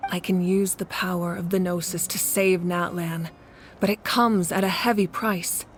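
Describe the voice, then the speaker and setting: A woman voicing a calm, resolute ruler in a game, measured and serious. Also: lowish voice, soft background music, lightly acted. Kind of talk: game dialogue.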